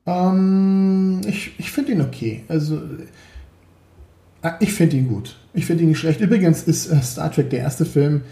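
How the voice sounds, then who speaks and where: Forties male guest, hesitant, slightly high, roomy sound. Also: a little slow, gravelly, dark tone. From Berlin, Germany. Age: 40s. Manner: hesitant, many fillers and restarts. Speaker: podcast guest, 3D graphics artist. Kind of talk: casual interview chat.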